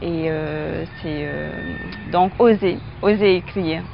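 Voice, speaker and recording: A woman from French Polynesia, a teacher and writer, speaking reflectively in an interview with frequent pauses, fillers and restarts. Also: low-pitched, creaky, muffled recording, noisy background. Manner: hesitant, many fillers and restarts.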